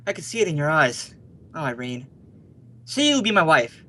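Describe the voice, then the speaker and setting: A man plays a lovestruck young suitor in a radio melodrama. He is earnest and insistent, declaiming in a bright, forceful voice that swings widely in pitch. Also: sing-song, moderate pace, full character voice. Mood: desperate, ardent. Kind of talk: old-time radio melodrama acting.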